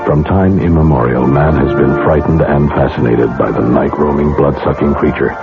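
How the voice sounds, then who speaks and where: A man's voice: rumbling low, normal speed, gravelly, narrow, tinny audio. A man reading a dramatic book commercial in an ominous, theatrical manner. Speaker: ad announcer. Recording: thin vintage radio with loud music.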